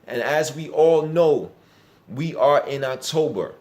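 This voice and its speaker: A man's voice: fairly high pitch, takes it slowly, a little mellow. Young man with an announcer-style intro. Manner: announcer-like, promotional address to viewers. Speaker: channel and podcast host. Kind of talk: upbeat show introduction.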